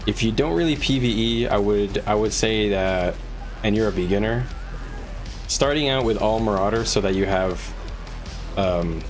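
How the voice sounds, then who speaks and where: Music plays clearly behind a man with a fairly low voice. He talks casually like a live game streamer, pausing and rephrasing as he thinks out loud while advising his chat. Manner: halting, thinking aloud. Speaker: game livestreamer.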